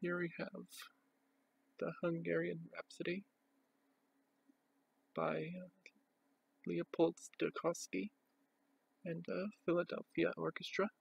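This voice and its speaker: A man with a very gravelly, husky voice gives an unscripted show-and-tell. His pitch sits a little high and his delivery is plain and halting as he goes item by item. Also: monotone, highs cut off. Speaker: record collector presenting a collection.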